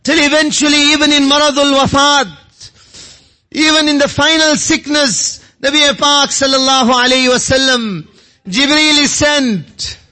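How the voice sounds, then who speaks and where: A man's voice: very high-pitched, fairly even intonation, normal speed, sharp tone, low-quality recording. A man preaching an impassioned Islamic sermon, emotional in delivery and repeating phrases for rhetorical emphasis.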